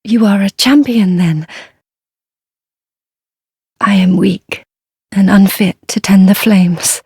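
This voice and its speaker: A timid blind young nun from a dark fantasy game, super breathy and whispery, slow humble delivery. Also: female voice, medium pitch, steady tone, dry recording, clean studio sound, full character voice. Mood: humble, devoted. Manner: halting, humble, formal, reverent.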